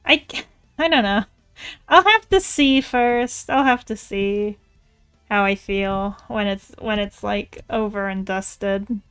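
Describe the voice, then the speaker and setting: A woman artist chatting casually while she draws, thinking out loud in a rambling, tentative way. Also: medium pitch, takes it slowly, clean tone. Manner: rambling, musing aloud, tentative. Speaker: artist streamer. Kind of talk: casual chat while drawing. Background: music.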